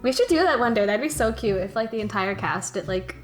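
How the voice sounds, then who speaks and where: A young woman hosting a Q&A in casual, gushing chat, excitable and prone to giggling. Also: a little high, fairly quick, faint music behind. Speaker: interviewer, host of a fan dub Q&A.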